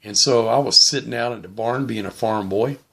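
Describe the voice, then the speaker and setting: A middle-aged man casually explaining and demonstrating as a hobbyist vlogger, in a plain-spoken, unhurried way. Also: mid-range voice, vocal fry, pushed and bright, breathy edge. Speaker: vlogger, shortwave radio hobbyist.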